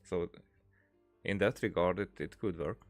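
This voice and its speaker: A man's voice: deep voice, unhurried, dry recording, faint music behind. A man giving casual gameplay commentary, hesitant and thinking aloud as he goes. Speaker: gaming video host.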